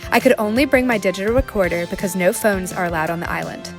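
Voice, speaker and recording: A woman's voice: medium pitch, quick pace, natural voice. Casting: woman to play earnest, probing undercover reporter, mid-range voice, documentary-style radio drama with light music underneath. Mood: grave, intriguing. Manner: probing, serious. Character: earnest, suspicious undercover female investigative reporter.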